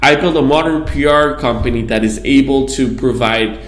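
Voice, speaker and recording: A man from Israel answers interview questions in a loose, wandering way, circling back to add context. His voice sits at an ordinary middle pitch. The room around him is echoey. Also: normal speed. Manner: rambling, storytelling with many asides. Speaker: guest, PR agency founder.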